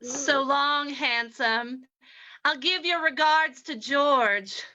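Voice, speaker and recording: A woman's voice: sits high, slow, pushed and bright, lo-fi sound, heavily acted. Woman acting a sultry, scheming radio-play femme fatale. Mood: mocking, gloating. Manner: flirtatious, mocking. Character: sultry, scheming, ruthless female poisoner in a crime radio play. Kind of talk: old-time radio drama acting.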